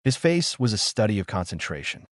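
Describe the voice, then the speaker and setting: A man narrates a noir audiobook in a low voice with widely swinging intonation. The delivery is scripted and dramatic, recorded close and dry. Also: sing-song, a little fast, dry recording.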